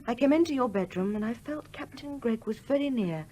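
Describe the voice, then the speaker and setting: An actress playing an outspoken, affectionate young daughter in a radio play. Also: female voice, fairly high pitch, expressive, a little fast, dark tone, slight background noise, natural voice. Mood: confiding, fond.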